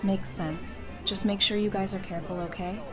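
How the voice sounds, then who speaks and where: An actress plays a direct, affectionate woman who leads. Her mid-pitched voice is casual and brisk, in an audio drama with music clearly underneath. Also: steady tone, natural voice. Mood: concerned. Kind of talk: fan audio drama acting.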